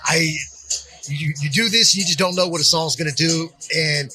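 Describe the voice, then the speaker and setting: A man's voice: fairly high pitch, steady tone, normal speed, sharp tone, breathy edge, soft background music. A man rapper promoting his new song in a casual interview at a concert venue, hyped and joking, laughing often.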